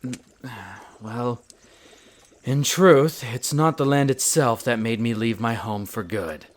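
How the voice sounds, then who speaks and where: A man's voice: mid-range voice, fairly even intonation, close to an everyday voice. A man playing a proud, prickly winter elf archaeologist who talks formally and haughtily in a scripted audio roleplay addressed to the listener. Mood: reluctant, bitter. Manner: haughty, formal, exasperated, theatrical pauses.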